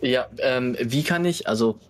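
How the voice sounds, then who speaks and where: A man chatting casually as a tabletop game player, hesitant and halting. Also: mid-range voice.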